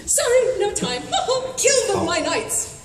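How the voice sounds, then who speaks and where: A woman's voice: very high-pitched, expressive, slow, exaggerated voice. A woman playing a gloating, theatrical mad-scientist villainess in a comic radio play, grandiose and menacing with mock courtesy. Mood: gleeful cruelty. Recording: echoey hall, far mic, audience noise.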